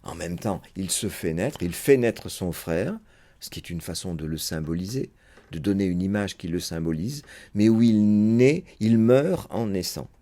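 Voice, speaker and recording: A man's voice: sits low, moderate pace, mellow. A man giving an unscripted spoken lecture as a psychoanalyst, thinking aloud and hesitating with frequent fillers.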